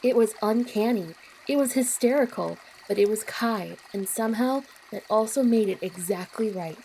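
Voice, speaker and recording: Mellow female audio drama narrator. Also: medium pitch, normal speed, low-quality recording. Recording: clear noise with water sounds behind. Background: trickling water ambience.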